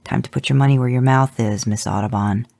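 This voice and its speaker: A reader voicing Dean, a gruff male monster hunter who gives curt orders with dry sarcasm, in an audio fanfic reading. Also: medium pitch, steady tone, fairly quick, a little mellow, no room sound, natural voice.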